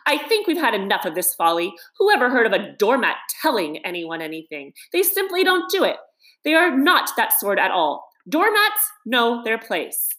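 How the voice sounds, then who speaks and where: Storybook mole, played by a woman: small, male, indignant and literal-minded, with very lively, swooping delivery. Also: a little high, moderate pace, voice pushed up. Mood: pettish. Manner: plaintive, pettish, indignant.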